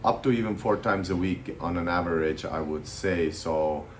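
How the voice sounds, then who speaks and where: A man in his thirties from Estonia talks loosely to the camera, pausing on fillers as he goes. His voice sits very low. A faint noise hums behind him. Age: 30s. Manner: relaxed, hesitant with many fillers. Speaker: vlogger. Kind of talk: casual to-camera chat.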